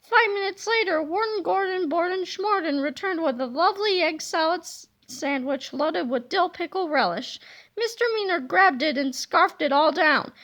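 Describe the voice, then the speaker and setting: A woman narrates a children's chapter book aloud, lively and expressive. Her voice sits high and sounds bright. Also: moderate pace. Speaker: reader.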